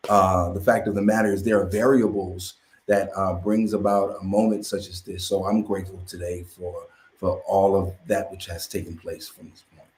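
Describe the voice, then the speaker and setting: A man with a low voice speaks slowly and gratefully, pausing often with fillers. The call sound is roomy and echoing. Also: little pitch movement, darkish tone, a little hiss or hum. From Florida. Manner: halting, grateful, many fillers. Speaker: guest musician and chaplain. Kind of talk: casual live stream chat.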